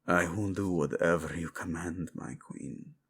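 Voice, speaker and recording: A male voice actor playing a devoted, possessive royal husband in an intimate romantic audio roleplay, with a husky low voice and formal, teasing speech. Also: a little hiss or hum. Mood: flirtatious, yielding. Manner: slow, hushed, teasing, formal wording.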